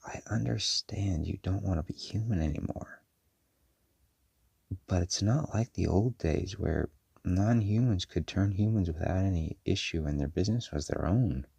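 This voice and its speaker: A man playing a firm, protective werewolf father in an audio roleplay, speaking to a child in a measured, caring but stern way. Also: low voice, vocal fry, husky, dry recording, exaggerated voice. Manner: measured, parental, gently firm.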